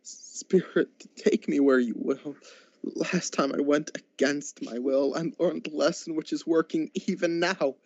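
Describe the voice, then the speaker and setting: A high-voiced, frightened old miser played by a man pleads in slow, stammering phrases, as in a radio play. The recording is dry, dull and lo-fi. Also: steady tone, a little mellow, dry recording. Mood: humbled. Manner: stammering, halting. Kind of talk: amateur radio drama acting.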